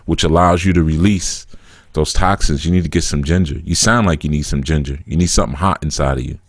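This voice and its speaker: A man hosts a call-in show in a very low voice. He talks bluntly and frankly, with no softening. The sound is dry and close, with no room around it. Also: a little fast, no echo. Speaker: spiritual call-in show host. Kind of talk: casual call-in radio talk.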